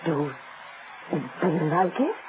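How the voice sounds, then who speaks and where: A woman's voice: sits low, sing-song, takes it slowly, exaggerated voice. An actress playing a nervous, hesitant wife frightened of her husband in an old-time radio melodrama. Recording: noisy, tinny old radio recording.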